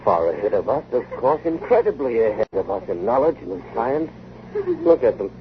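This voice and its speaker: An actor playing a confident but excitable gentleman inventor, with a clipped, urgent, theatrical delivery from vintage radio drama. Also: mid-range voice, moderate pace. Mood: grave, knowing. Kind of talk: 1940s-50s radio drama acting. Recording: dull, noisy vintage radio recording.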